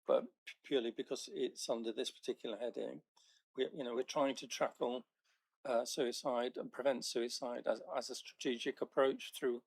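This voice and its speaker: A man, a councillor, asking questions at a council meeting, halting with many restarts and fillers. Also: mid-range voice, gravelly, poor audio.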